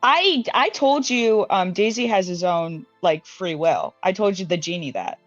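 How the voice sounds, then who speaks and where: A woman's voice: mid-range voice, lively intonation, a little slow, no room sound, faint music behind. A woman in a tabletop game talks emphatically, laughing often and swearing freely. Her voice sounds dry and close, with dull, lo-fi audio. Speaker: player. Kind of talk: casual tabletop roleplay.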